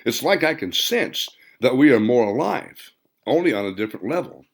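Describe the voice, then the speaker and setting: A man voicing an earnest, wondering woman in an audiobook, speaking deliberately. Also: medium pitch, big pitch swings. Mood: awed. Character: earnest woman sensing strange new powers. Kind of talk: audiobook character dialogue.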